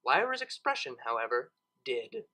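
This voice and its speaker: A woman's voice: very deep voice, very animated, moderate pace, pushed and bright. A woman narrating a story expressively, as a reader of fan fiction.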